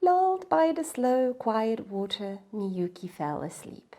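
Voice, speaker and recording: A woman reading a children's story aloud in a dramatic, expressive way, sometimes dropping to hushed, breathy phrases. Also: on the high side, slow pace.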